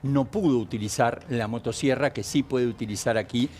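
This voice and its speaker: Argentine male panelist with medium-pitched voice. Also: fairly quick, darkish tone. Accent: Argentine Spanish. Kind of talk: political panel discussion.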